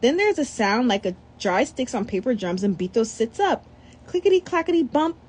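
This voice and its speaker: A woman reading a children's picture book aloud, animated, sometimes tripping over words and adding her own comments. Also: on the high side, moderate pace, slight background noise. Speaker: storybook reader.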